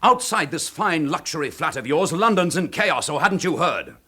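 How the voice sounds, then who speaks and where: An actor playing a dogged, frustrated police chief inspector in a radio drama, with a brisk, probing, forceful manner. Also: male voice, a little high, very animated, husky, heavily acted. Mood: exasperated.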